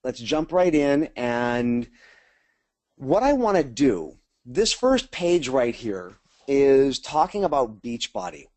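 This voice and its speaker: An American man hosts an online team training in an informal, chatty way. His medium-pitched voice is recorded close and dry, and he talks directly to the people listening as a coach would. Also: a little mellow, dry recording. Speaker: sales team trainer hosting a webinar. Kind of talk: casual webinar presentation.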